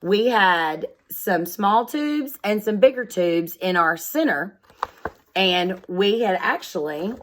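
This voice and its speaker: A woman's voice: mid-range voice, lively intonation, slow pace, a bit bright, a little hiss or hum. A woman speaking as a schoolteacher, reading aloud and explaining things in simple, instructive words addressed directly to young children.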